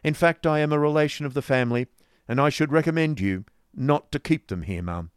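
A man doing a blunt, forceful stern gentleman. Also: on the high side, a little fast, smooth, no room sound, studio quality, pitched above the performer's own voice, lightly acted. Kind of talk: audiobook character voice.

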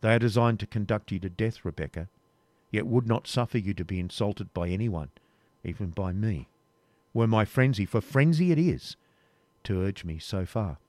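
A man reading an audiobook, voicing a proud medieval knight in a grave, measured manner. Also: lowish voice, expressive, darkish tone, no echo, lightly acted. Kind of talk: audiobook reading, period novel.

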